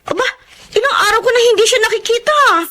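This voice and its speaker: An actress playing a hardworking, emotive young eldest daughter in a Filipino radio drama. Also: female voice, very high voice, fast. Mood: caring, busy. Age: young adult. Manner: emotive, expressive. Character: weary, hardworking eldest daughter, earnest dreamer, breadwinner.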